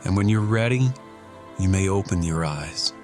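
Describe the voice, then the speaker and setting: An actor playing a calm, soothing meditation guide and father in an audio drama. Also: low voice, moderate pace, music in the background, close to an everyday voice.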